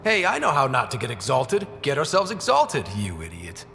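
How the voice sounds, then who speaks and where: Human expedition leader, decisive, wry, lively intonation, mid-pitched, game dialogue, reverberant with faint noise. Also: male voice, moderate pace, gravelly, soft background music, full character voice. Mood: sardonic. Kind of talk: dramatic video game dialogue.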